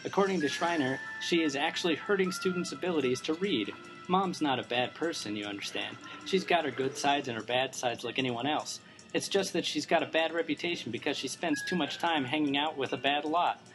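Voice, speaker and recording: Man playing an earnest, higher-pitched young informant. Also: fairly quick, over music, natural voice. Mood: confiding. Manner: earnest, explanatory. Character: earnest, defensive young male blog, personified informant. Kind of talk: amateur noir radio drama acting.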